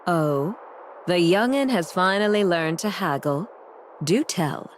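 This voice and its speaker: A woman's voice: on the low side, expressive, slow, slight background noise. A woman voicing a sardonic, commanding doctor in an anime game, with a dry, weary, curt way of talking. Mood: wry.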